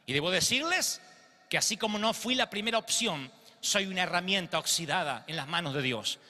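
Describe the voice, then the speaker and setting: Brief: man in his thirties, pastor, high and very sharp-toned, animated stage sermon in a big reverberant hall. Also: brisk. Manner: theatrical, acts out quotes, pacing the stage. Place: large glass church auditorium. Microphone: handheld mic.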